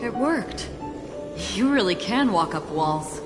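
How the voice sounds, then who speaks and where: Practical young adventurer girl, middle pitch, matter of fact, game scene with music. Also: lively intonation, slow pace. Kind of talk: video game story acting. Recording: music behind.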